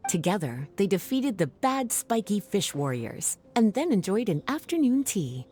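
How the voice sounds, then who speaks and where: An actress voicing a kindly, poised adult woman in a video game, coaxing and gently teasing in an indulgent, unhurried manner. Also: mid-range voice, sing-song, faint music behind, close to an everyday voice. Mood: storytelling. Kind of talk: animated video game voice acting.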